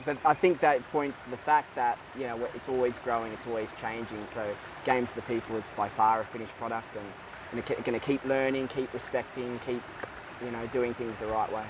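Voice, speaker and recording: A man in his twenties being interviewed, talking in a thoughtful, halting way with many fillers and restarts. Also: mid-range voice, level tone, recorded from a distance, background noise. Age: 20s. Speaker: guest. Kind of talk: casual interview.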